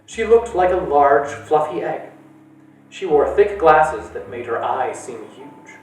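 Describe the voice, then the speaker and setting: A man reading a storybook aloud, his voice rising and falling with lively expression. He sounds roomy and echoey, picked up from a distance with faint music in the background. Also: on the high side, slow, a little hiss or hum. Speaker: library storyteller.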